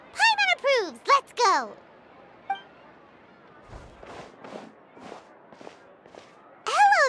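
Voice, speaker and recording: A woman voicing a small, perky, childlike fairy companion in a video game, squeaky, bubbly and cheeky. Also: pushed and bright, a little hiss or hum, heavily acted. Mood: cheeky, upbeat. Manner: bubbly, refers to herself by name.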